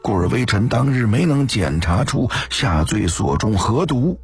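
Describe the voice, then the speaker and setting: A man's voice: medium pitch, sing-song, measured pace, husky, no echo, studio quality, voice pushed up, heavily acted. A man playing a cautious, deferential imperial physician in an audio story, speaking formally and carefully. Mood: formal, explaining. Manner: hesitant, formal.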